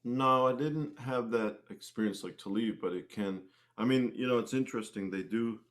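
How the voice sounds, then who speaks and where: A man answering questions casually as an interview guest, often halting and rephrasing his thoughts midway. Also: medium pitch. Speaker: interview guest, singer-musician.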